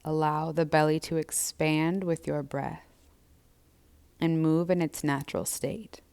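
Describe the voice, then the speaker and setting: A woman yoga instructor gently and soothingly guiding breathwork and a body scan in a measured way. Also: sits low, flat delivery, vocal fry, clear, resonant tone.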